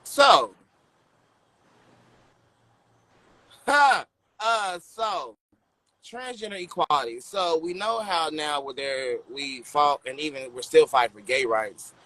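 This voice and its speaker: Bright-voiced, rambling man. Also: on the high side, expressive, slow pace, long pauses, rough recording. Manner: rambling, searching for words. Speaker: podcast co-host. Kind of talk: casual chat.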